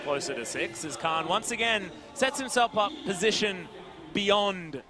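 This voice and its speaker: A male esports commentator with a very high, excited delivery that breaks into shouts on big plays. He calls the action live over loud music and a roaring crowd. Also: unhurried. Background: music, cheering crowd.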